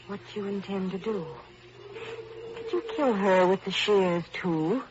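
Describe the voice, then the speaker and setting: An actress playing a calm, taunting ghost of a dead young woman in an old radio horror play, speaking cool and deliberate. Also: medium pitch, close to an everyday voice. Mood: calm, knowing. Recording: noisy, muffled vintage radio recording.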